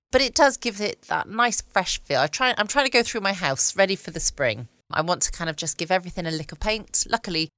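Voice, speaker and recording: Low-pitched British woman, casual bedtime chat. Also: fairly bright tone, no room sound. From United Kingdom. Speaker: late night podcast host.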